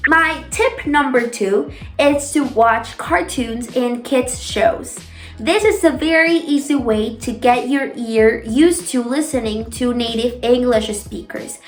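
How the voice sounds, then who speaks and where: A young woman whose native language is Spanish, talking casually as a vlogger giving tips, stressing points with drawn-out words and repetition. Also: fairly high pitch. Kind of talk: casual talk to camera. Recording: echoey room.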